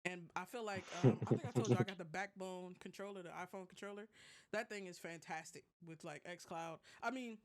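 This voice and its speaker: A podcast co-host chatting casually and informally about tech, with animated, slangy delivery. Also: medium pitch, brisk. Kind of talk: casual tech and gaming chat.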